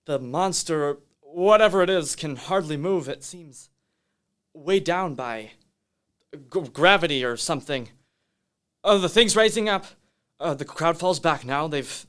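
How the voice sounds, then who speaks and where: A man playing an excitable, awestruck radio reporter in a radio play, speaking in a dramatic, halting announcer style. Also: a little high.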